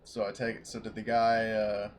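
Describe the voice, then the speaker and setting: Low-voiced man in tabletop roleplay. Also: completely flat delivery, crowd noise. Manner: halting, narrates character's actions and dialogue. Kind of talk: casual in-character tabletop roleplay. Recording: home recording with faint background noise.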